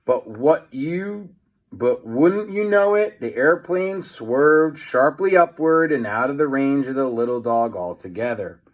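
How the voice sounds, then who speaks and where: A man's voice: mid-range voice, lively intonation, a little slow. A man reading a children's story aloud as a theatrical narrator, with teasing asides to young listeners. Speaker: storybook reader.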